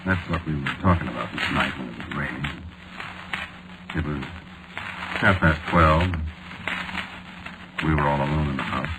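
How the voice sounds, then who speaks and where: Very deep, gruff American man in old radio drama. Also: moderate pace, pauses often. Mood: brooding. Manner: terse, gruff, colloquial. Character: irritable, defensive man telling a ghost story. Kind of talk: 1940s radio acting, first-person narration and dialogue. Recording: noisy vintage radio broadcast recording.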